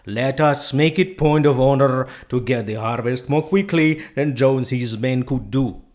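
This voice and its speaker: A man voicing an eager revolutionary pig, crying out loud rallying calls in a storybook reading. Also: medium pitch, measured pace, voice pushed up, lightly acted. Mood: rousing.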